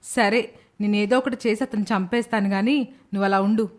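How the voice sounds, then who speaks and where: A woman voicing an uneasy nurse drawn into her friend's scheme in an animated moral story, with expressive, dramatic delivery. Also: medium pitch, normal speed, close to an everyday voice. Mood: firm.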